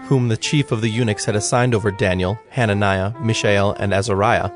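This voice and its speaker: A man narrating a scripted, dramatized scripture reading in a measured, solemn manner. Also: lowish voice, fairly even intonation. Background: instrumental music.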